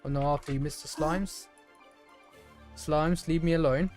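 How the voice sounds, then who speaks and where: A man's voice: fairly high pitch, fairly even intonation, unhurried, a little mellow, soft background music. A man giving casual live gameplay commentary, chatting playfully to the characters and enemies as he plays. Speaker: video game streamer.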